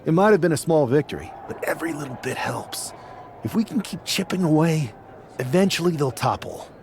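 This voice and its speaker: A man's voice: a little high, lively intonation, fairly quick, a little mellow, a little hiss or hum. A man playing an ambitious newcomer pro wrestler and scheming rebel in a video game cutscene, speaking earnestly and with emphasis.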